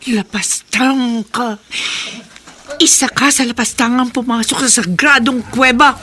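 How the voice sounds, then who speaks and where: A woman's voice: a little high, fairly even intonation, unhurried, bright, forceful tone, slight background noise. An actress playing a solemn, vengeful woman guarding a cursed cave in a horror radio drama. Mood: menacing, vengeful.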